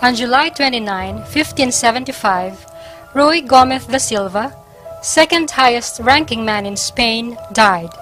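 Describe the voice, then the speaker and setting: A woman narrating a scripted historical stage play with formal, deliberate phrasing. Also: a little high, lively intonation, a bit bright, rough recording. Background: music.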